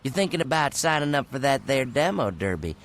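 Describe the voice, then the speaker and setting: An actor playing a cocky demolition derby driver with folksy trash talk in a video game cutscene. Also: male voice, medium pitch, big pitch swings, a little fast, fairly bright tone. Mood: smug, taunting.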